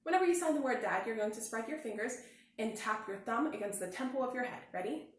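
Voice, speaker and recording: A woman teacher speaking slowly and clearly, encouraging children through a signing lesson and read-aloud. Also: fairly high pitch, expressive. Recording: very echoey room.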